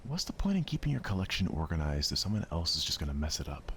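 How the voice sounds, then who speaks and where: Man putting on a grumbling, feisty schoolgirl, swooping pitch. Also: big pitch swings, fast talker, a bit bright, slight background noise, heavily acted. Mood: annoyed. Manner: grumbling, blunt. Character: feisty, easily irritated small schoolgirl manga fan.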